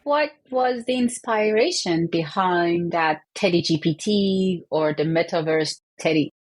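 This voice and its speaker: A woman's voice: on the low side, unhurried. A woman co-hosting a podcast, enthusiastic and eager as she introduces the guest. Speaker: co-host.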